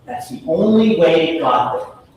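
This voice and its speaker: Slow, emphatic male prosecutor in echoing courtroom. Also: fairly high pitch, lively intonation, highs cut off. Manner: emphatic, declarative, short repeated phrases. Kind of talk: courtroom closing argument. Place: large indoor courtroom. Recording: echoey courtroom from far off, faint noise.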